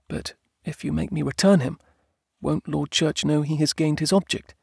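Man voicing a high-pitched, spirited young countess. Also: steady tone, moderate pace, vocal fry, breathy edge, dry recording, clean studio sound. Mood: calm, questioning. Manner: earnest, gently insistent. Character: young countess Jane, sweet, spirited, stubborn wife. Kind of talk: audiobook character dialogue.

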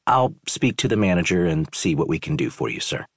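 A man voices a worn-out resort owner answering a complaint with strained politeness. The voice stays low and husky, recorded dry and close to the mic. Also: big pitch swings, brisk, no room sound, exaggerated voice. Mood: strained polite. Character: weary male resort owner, politely tense.

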